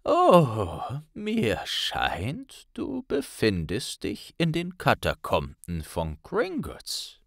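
A narrator voicing an unbothered old male wizard professor with a measured manner in an audiobook. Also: medium pitch, huge pitch swings, creaky, dry recording, clean studio sound, heavily acted. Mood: calm. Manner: measured, unhurried.

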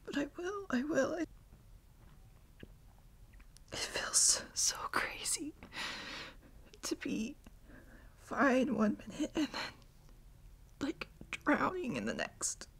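A woman playing a tearful, insecure girlfriend in an ASMR roleplay, crying and speaking in halting, breathy, self-deprecating phrases. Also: sits high, fairly bright tone, full character voice. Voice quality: breathy, whispery. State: crying, sniffly. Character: tearful, insecure young girlfriend seeking comfort.

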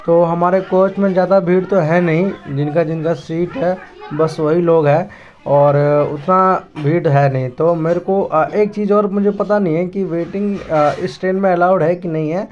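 High-voiced young man vlogging. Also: steady tone, normal speed. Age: 20s. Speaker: travel vlogger. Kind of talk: casual Hindi vlog narration to viewers. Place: outdoors, railway platforms and inside trains. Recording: muffled phone audio, loud station and vehicle noise.

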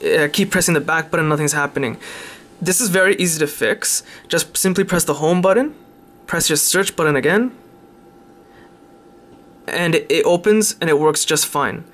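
A man's voice: on the high side, normal speed, long pauses, a bit bright. A man giving a casual, unscripted tech demonstration in an informal, hesitant way, often correcting himself. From Canada. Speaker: tech video presenter.

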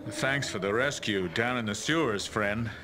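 Man as deep-voiced, earnest retired thief. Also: moderate pace, noisy background, natural voice. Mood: earnest, helpful. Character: weathered retired thief, earnest, helpful, regretful. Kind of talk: fantasy video game dialogue.

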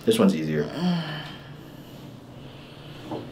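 A man's voice: extremely low pitch, slow pace. A man working as a chiropractor, talking casually and reassuringly to his patient as he treats them. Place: indoor treatment room. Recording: noisy room with ambient sound.

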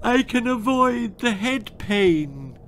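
A man's voice: very high voice, sing-song, slow, a bit bright, slight background noise, voice pushed up, full character voice. A man voicing a spoiled, manipulative little girl who sobs and whines to get her way. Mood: sobbing. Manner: sobbing, whining, wailing.

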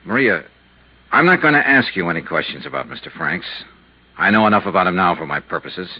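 An actor playing a cool, shrewd insurance investigator in a 1950s radio drama, terse, measured and matter-of-fact. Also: male voice, deep voice, very animated, husky, full character voice. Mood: cool, persuasive. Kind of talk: 1950s radio drama, hard-boiled narration and dialogue. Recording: muffled 1950s radio recording.

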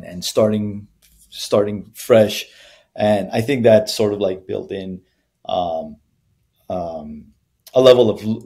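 A man in his thirties from Colombia talks over a video call about his background. His voice sits low and sounds soft and mellow, and he speaks thoughtfully, with frequent ums and restarts. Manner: thoughtful, many fillers and restarts. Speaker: guest, brand founder. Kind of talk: conversational interview answers. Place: small room with bare wall.

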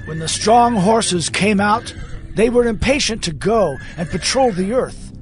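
A man's voice: high-pitched, lively intonation, a little slow, faint music behind. A man playing an earnest, awed prophet recounting his visions dramatically in an audio Bible.

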